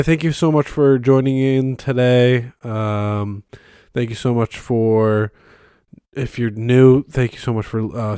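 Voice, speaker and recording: A young man hosting a casual solo podcast from his bedroom, rambling with many ums and restarts, his voice hoarse and congested from being sick. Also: lowish voice, dark tone, dry recording, clean studio sound. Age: young adult. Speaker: podcast host. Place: bedroom used as a studio. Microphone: close microphone, filmed on camera.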